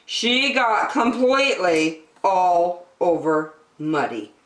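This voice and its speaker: Slow, very animated woman reading aloud. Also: on the low side, far from the mic, slight background noise. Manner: expressive, with deliberate emphasis. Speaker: children's story-time reader. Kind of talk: animated picture-book read-aloud. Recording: echoey, roomy sound.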